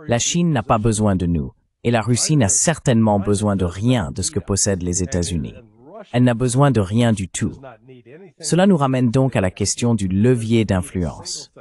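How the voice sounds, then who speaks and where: A man with a fairly low voice delivers political commentary as a translated voice-over. He explains things in a measured way, point by point. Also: a little mellow. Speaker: panel commentator.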